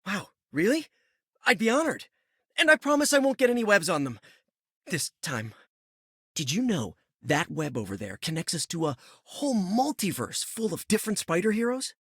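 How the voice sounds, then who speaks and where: An eager young superhero in a video game. His voice is high and very animated, chatty with self-deprecating jokes. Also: normal speed, husky, no echo, studio quality, full character voice.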